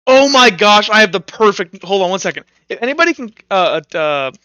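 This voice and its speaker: A man acting as game master, casually narrating a tabletop roleplay in a halting, informal way to his players. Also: high voice, very animated, pushed and bright, no echo, lo-fi sound. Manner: halting, informal delivery with many "like"s.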